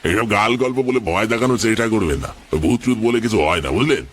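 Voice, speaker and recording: A boastful village skeptic played by a man in a horror audio story. The voice is very deep and the manner mocking and challenging, over faint background noise. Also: fast, fairly bright tone, close to an everyday voice. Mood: scoffing, defiant. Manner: scoffing, challenging. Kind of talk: dramatized Bengali horror story.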